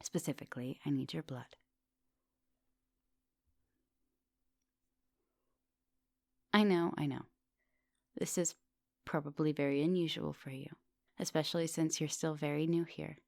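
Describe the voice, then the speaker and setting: A woman playing a coaxing, soft-dominant vampire professor in an intimate ASMR roleplay, speaking with polite, formal phrasing. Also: low-pitched, fairly even intonation, normal speed, vocal fry, dry recording, clean studio sound. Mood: confiding, coaxing. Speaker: teacher.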